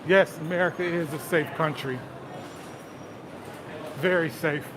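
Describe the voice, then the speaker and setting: A man's voice: a little high, unhurried. Chatty man walking busy city streets. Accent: Southern US. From Detroit, Michigan. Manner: relaxed, chatty, answers viewer comments, often says "man". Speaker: livestream host. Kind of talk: casual live-stream chat while walking. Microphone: phone held while walking. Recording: street noise. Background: city traffic and crowd sounds.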